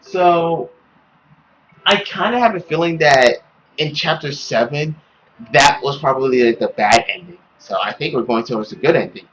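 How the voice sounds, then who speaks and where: A young man hosting a gaming video with casual, expressive, exclamatory commentary. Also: medium pitch, moderate pace, rough recording. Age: young adult. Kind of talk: casual let's play commentary.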